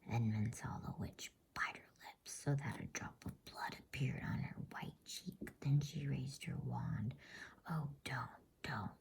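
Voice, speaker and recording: A woman reading a bedtime storybook aloud in a soothing, unhurried ASMR whisper. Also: a bass voice, gravelly, darkish tone.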